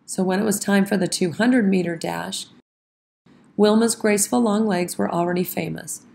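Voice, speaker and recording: A woman narrating a children's story from a script with expressive, dramatic phrasing. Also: mid-range voice, normal speed, vocal fry. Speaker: children's read-aloud narrator. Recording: music behind the voice. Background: background music.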